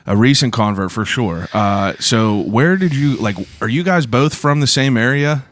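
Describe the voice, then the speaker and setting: A man's voice: medium pitch, expressive, moderate pace. A man in his late twenties hosting a podcast, talking in a laid-back way, swearing freely and filling gaps with "like". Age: 20s. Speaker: podcast host. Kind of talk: casual interview chat.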